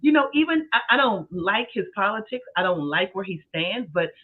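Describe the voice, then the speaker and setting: A man's voice: sits high, big pitch swings, moderate pace. A man in his forties, a podcast co-host, talking earnestly and thinking aloud in a casual discussion. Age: 40s. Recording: tinny phone-like sound.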